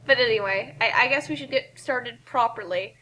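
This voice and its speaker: Bright high-voiced woman chatting casually. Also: normal speed, a little hiss or hum. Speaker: co-host reading fan fiction aloud. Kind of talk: casual banter and commentary.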